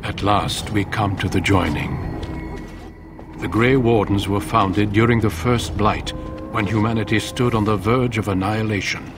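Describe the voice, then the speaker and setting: An actor playing a solemn, commanding middle-aged warden leader in a fantasy game, speaking formally. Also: male voice, on the low side, steady tone, over music, lightly acted. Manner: grave, measured, formal. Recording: game dialogue over loud background ambience.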